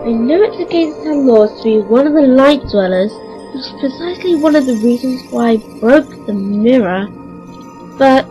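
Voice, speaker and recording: A woman's voice: sits high, expressive, normal speed, mellow. A woman playing an anxious, regal young ruler of a twilight realm in a fantasy radio play, speaking in a formal, musing, theatrical way. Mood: troubled. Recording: dull lo-fi home recording. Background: music.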